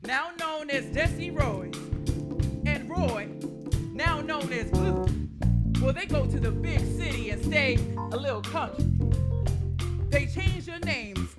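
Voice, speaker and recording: A jazzy, knowing female storyteller, acted by a woman addresses the crowd in slangy, rhythmic spoken word. Her high voice swings widely in pitch, often near singing, with prominent guitar music behind it. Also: huge pitch swings, takes it slowly, pauses often, heavily acted. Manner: lilting, half-sung, slangy.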